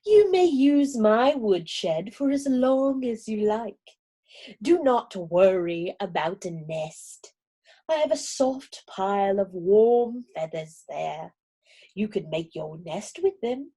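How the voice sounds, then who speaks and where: Lady reading as a sly fox gent, polite and coaxing, slow and breathy. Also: medium pitch, lively intonation, mellow. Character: smooth-talking sly fox gentleman, male. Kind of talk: storybook reading.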